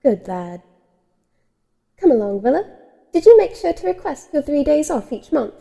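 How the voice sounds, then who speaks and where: A woman's voice: high voice, sing-song, moderate pace, gravelly, mellow, exaggerated voice. A woman playing a refined, coy female vampire with proper phrasing in a horror-comedy audio drama. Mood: poised, breezy. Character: genteel, predatory female vampire immigrant out west.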